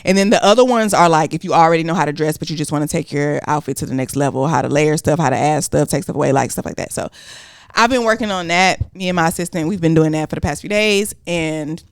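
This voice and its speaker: A woman with a Southern US accent co-hosting a podcast, talking in an animated, slangy, cursing way. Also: lowish voice, no room sound, clean studio sound. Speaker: podcast co-host. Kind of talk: casual chat.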